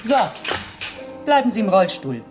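A woman's voice: mid-range voice, very animated, measured pace, music in the background. A woman playing a cool, menacing henchwoman in a crime radio play, speaking with sardonic politeness and command. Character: cool menacing female henchwoman posing as attendant.

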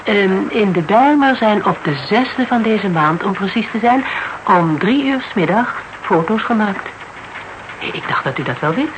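Through clear background noise of an old radio play comes an actor as a courteous legal adviser. The phrasing is formal and circumspect, with careful hesitations. The figure stays deferential while pressing on with probing questions, in a medium-pitched voice. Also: lively intonation, a little slow, close to an everyday voice. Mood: matter-of-fact, persistent. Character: polite, probing legal adviser, tactfully insistent. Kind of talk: Dutch radio drama acting, dialogue. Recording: noisy old radio broadcast recording.

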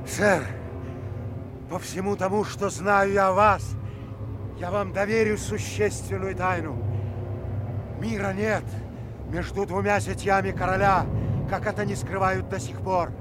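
A man's voice: sits high, flat delivery, a little slow, long pauses, music in the background. An actor playing an earnest, loyal nobleman in a theatrical audio drama, speaking urgently with formal stage diction. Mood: confiding, earnest.

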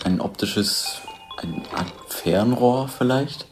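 Watchful woman, very deep voice, short descriptive statements, radio play, faint music behind. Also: slow. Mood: hushed, tense. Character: attentive woman describing scenes to a companion. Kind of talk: audio drama, scene commentary.

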